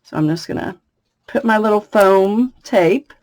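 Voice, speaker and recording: A woman from the United States with a slightly raspy voice, chatting through a card-making tutorial and laughing between steps. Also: medium pitch, measured pace, a little mellow, dry recording. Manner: chatty, laughs often, thinks aloud. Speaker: craft demonstrator. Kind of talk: casual craft tutorial.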